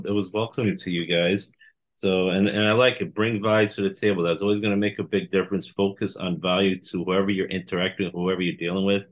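A man hosting an interview, affirming his guest in a loose, rambling way with many fillers. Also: lowish voice. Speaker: interview host. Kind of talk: casual interview chat.

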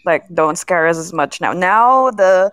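A woman's voice: on the low side, animated, measured pace, a bit bright, smooth, no room sound. A woman chatting casually as a podcast co-host. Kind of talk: casual chat.

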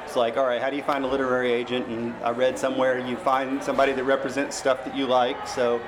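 A man's voice: mid-range voice, completely flat delivery, quick pace, noisy background. A middle-aged American man from Tennessee, a novelist in a casual interview, telling anecdotes in a self-deprecating way. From Memphis, Tennessee, United States.

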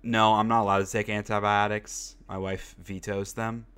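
A man's voice: fairly low pitch, little pitch movement, normal speed, a bit bright, smooth. A young man hosting a casual podcast, congested from a cold and rambling with lots of fillers. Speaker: podcast host. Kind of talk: casual chat.

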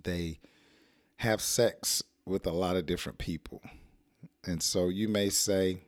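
American man, hoarse voice, very low pitch, dry close sound, playful teacher. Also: fairly even intonation, slow, no room sound. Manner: playful, acts out short example sentences. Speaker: English teacher. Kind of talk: casual spoken English lesson.

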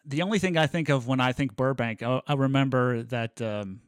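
A man's voice: medium pitch, fairly quick, clear, resonant tone, no room sound, clean studio sound. An American man co-hosting a podcast in casual chat, easygoing and rambling, often repeating his phrases. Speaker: podcast co-host.

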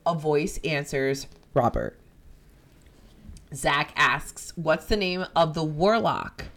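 Low-voiced Jersey woman retelling a ghost story. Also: takes it slowly. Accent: New Jersey. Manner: animated, profane, jumps into quoted voices. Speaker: podcast host. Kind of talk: casual chat retelling a ghost-hunting episode.

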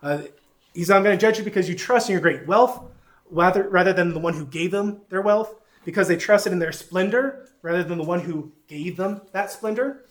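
A man's voice: high-pitched, fairly quick. A man with a Southern accent teaching a sermon, folksy and self-deprecating, with frequent fillers. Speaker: pastor.

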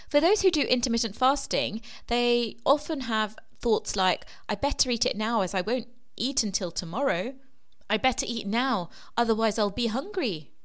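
A woman hosting a solo coaching podcast, a little croaky from a sore throat, explaining at length and trailing off softly at the ends of phrases. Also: a little high. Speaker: weight loss coach and podcast host.

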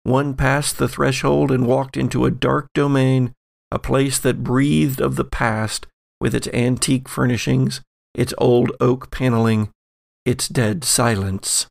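A man's voice: mid-range voice, steady tone, unhurried, creaky, no echo, clean studio sound. A man speaking as host and game master of an actual-play podcast. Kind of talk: reading and casual chat on an actual-play podcast.

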